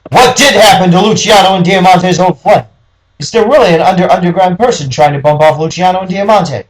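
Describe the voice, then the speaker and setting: A man's voice: high voice, fairly quick, rough recording. A man reading scripted noir detective story narration as the narrator.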